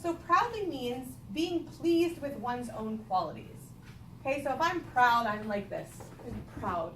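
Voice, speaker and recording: Animated, high-voiced woman teaching in echoey room. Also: a little slow. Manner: instructional, questioning, repeating children's answers. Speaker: elementary school teacher. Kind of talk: read-aloud lesson to young children with questions. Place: classroom with young children. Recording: echoey room, distant mic, noisy background.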